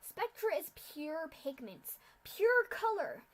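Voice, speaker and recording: A woman voicing a cold, masked female pegasus official who lectures loudly in a storybook reading. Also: very high voice, very animated, takes it slowly, pitched above the performer's own voice, heavily acted. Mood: lecturing. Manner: lecturing, loud.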